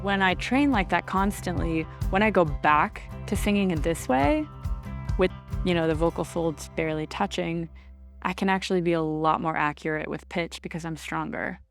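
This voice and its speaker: A woman who sings for a living, speaking softly as she explains her vocal technique in an interview. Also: on the low side, moderate pace, vocal fry. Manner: soft-spoken, explanatory. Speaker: professional singer. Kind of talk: interview talk. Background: music bed.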